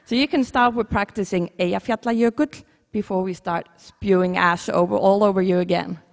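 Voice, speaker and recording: A woman's voice: mid-range voice, steady tone, normal speed, vocal fry. A woman from Iceland with an Icelandic accent, a teacher giving an earnest, conversational talk and correcting herself as she goes. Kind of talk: conference talk. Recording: long hall reverb.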